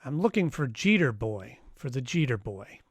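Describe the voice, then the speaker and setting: A man's voice: mid-range voice, big pitch swings, moderate pace, breathy edge, no room sound. A man playing an unflappable, wisecracking private detective who also narrates in a first-person noir radio style. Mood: wry, unflappable. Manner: dry, wisecracking.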